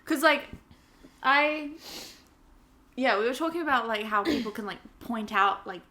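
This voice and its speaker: A woman's voice: fairly high pitch, steady tone, a little slow, pushed and bright. A young woman from New Zealand with a Wellington accent sprinkled with American words, co-hosting a podcast with playful, insistent chat. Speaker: podcast co-host. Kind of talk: casual chat.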